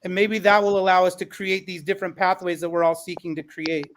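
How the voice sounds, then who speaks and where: A man's voice: high voice, level tone, brisk, a bit bright. A man speaking conversationally as a panelist, making his case in an articulate, persuasive way. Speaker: podcast panelist.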